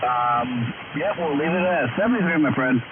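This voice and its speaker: A man calling in over amateur radio, chatting casually in a halting, hesitant way. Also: on the high side, animated, over a noisy crowd. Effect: radio transmission. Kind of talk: casual ham radio chat. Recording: narrow tinny radio link, loud static.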